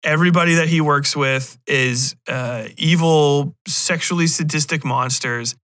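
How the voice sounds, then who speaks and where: A man's voice: on the high side, a little slow, a bit bright, no room sound, studio quality. A man hosting a podcast, giving a casual, rambling review in a profane, sardonic, incredulous manner. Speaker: podcast host.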